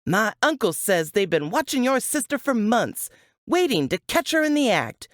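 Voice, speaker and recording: A spiteful young woman from an audiobook, acted out by a woman narrator, raised in pitch and smirking as she taunts and accuses. Her delivery is indignant and swings up and down, recorded close and dry. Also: big pitch swings, normal speed, dry recording. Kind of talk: audiobook character acting.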